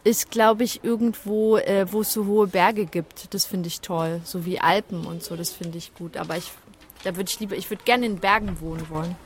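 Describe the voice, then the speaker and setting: Woman from Germany, mid pitch, relaxed and friendly, hedges a little, faint noise. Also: normal speed. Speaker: interviewee. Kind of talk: casual interview answers.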